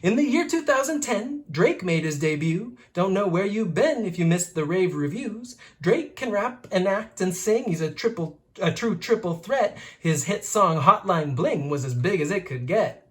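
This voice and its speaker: A reader with an expressive, playful and rhythmic delivery, reading a rhyming picture book aloud like a teacher to children. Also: mid-range voice, normal speed, hardly any pauses.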